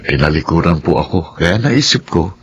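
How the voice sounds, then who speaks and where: An actor playing a considerate young grown son in a radio drama, polite and earnest. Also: male voice, low-pitched, animated, moderate pace, highs cut off, slight background noise. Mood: hurt. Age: young adult. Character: considerate, hurt grown son, an office worker.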